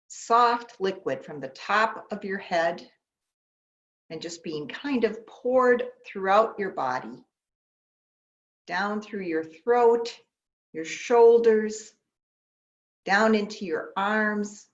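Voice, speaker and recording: A woman pastor leading a guided meditation in an unhurried, gentle manner with long pauses, speaking in short instructions. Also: medium pitch. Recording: dull, lo-fi sound.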